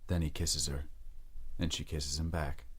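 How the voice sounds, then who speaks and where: A man's voice: a bass voice, flat delivery, a little slow, breathy edge. A man reading scripted narration for an audio drama.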